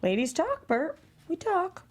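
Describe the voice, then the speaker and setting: A woman playing a frank, teasing, tipsy drinker with blunt, deadpan delivery at a script table read. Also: extremely high pitch, a little slow, heavily acted.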